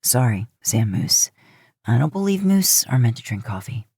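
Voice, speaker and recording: A woman voicing an easygoing, affectionate, teasing older brother in a casual, gruff audiobook delivery. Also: a bass voice, sing-song, normal speed, warm tone, no echo, studio quality, pitched below the performer's own voice, full character voice. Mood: gently teasing. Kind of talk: audiobook character dialogue.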